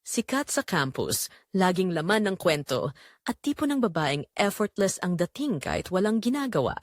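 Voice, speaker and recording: A woman with a Filipino accent narrating a scripted romance story in an expressive, measured way with dramatic pauses. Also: on the low side, no room sound, studio quality.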